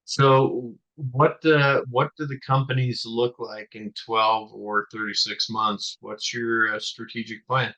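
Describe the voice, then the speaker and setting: A man's voice: medium pitch, flat delivery, slow, hardly any pauses, pushed and bright. A middle-aged man hosting an interview, asking long, winding questions with plenty of fillers. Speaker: podcast host. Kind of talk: conversational interview. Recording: dull lo-fi sound.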